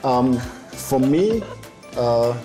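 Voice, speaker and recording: Man from Austria, relaxed coach, medium pitch, roomy echo. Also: very slow. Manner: relaxed, joking, with restarts. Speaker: basketball coach. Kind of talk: casual interview. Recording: echoey room with music behind.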